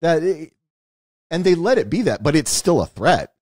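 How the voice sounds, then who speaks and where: A man's voice: a little high, sing-song, normal speed, a little mellow, no room sound, clean studio sound. A man co-hosting a podcast, explaining film and game details in a knowledgeable, casual way. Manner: explanatory, knowledgeable, repeats words when starting. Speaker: podcast co-host. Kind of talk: casual movie discussion.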